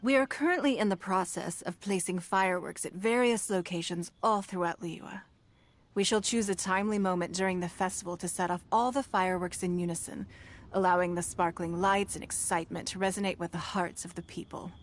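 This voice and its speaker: An actress playing a gracious, dignified noblewoman leader in a video game, speaking in a formal, measured manner. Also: lowish voice, steady tone, a little hiss or hum, natural voice. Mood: stately, warm. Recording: game music behind the voice.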